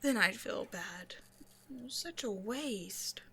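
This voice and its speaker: A woman voicing a cold, century-old female vampire scientist in an audio roleplay, speaking in a dry, measured, condescending way straight to the listener. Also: medium pitch, lively intonation, pushed and bright, slight background noise, full character voice. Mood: detached pity. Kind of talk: scripted ASMR roleplay monologue, speaking to a listener.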